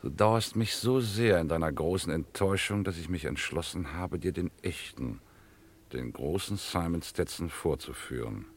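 An actor playing a pompous, scheming gentleman crook in a vintage radio crime play, patronising and grandiloquent in deliberate phrases. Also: low-pitched, slight background noise. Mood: mock-pitying. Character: pompous, scheming gentleman crook, condescending to his dim henchman.

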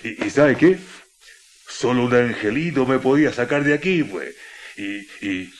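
An actor playing a warm, jovial Chilean miner in his fifties, talking in a folksy, colloquial way for a radio drama. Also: medium pitch, animated, close to an everyday voice. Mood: delighted, moved. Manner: folksy, drawn-out colloquial speech.

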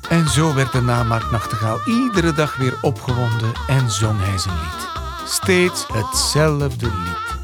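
A man narrating a scripted fairy tale as an expressive storyteller. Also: medium pitch, measured pace, over loud music.

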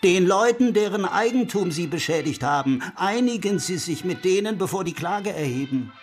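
An actor playing an officious, exasperated middle-aged district attorney in a radio crime drama, questioning in a formal, clipped way. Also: male voice, high voice, expressive, normal speed, faint music behind. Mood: stern, formal.

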